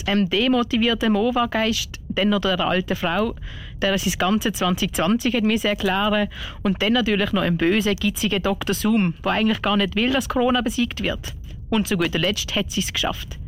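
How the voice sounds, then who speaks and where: A woman's voice: medium pitch, slight background noise. A woman with a Swiss German accent co-hosting a youth radio show, telling things in a scripted presenting style. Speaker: radio co-host. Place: radio studio.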